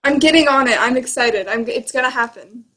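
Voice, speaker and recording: A woman's voice: high voice, a little fast, pushed and bright, poor audio. A young woman chatting casually about books in a giggly, rambling, informal way. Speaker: book discussion co-host. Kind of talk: casual book chat.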